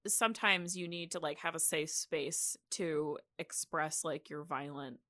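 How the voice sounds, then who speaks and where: Bright-voiced woman chatting on a podcast. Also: low-pitched, measured pace. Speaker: podcast co-host. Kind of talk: casual, chatty podcast discussion.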